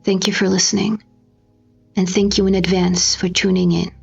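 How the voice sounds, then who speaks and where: A woman giving a dramatic scripted narration, grave and theatrical, with deliberate phrasing. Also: medium pitch, level tone, vocal fry, husky. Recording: slightly dull recording with music behind. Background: music.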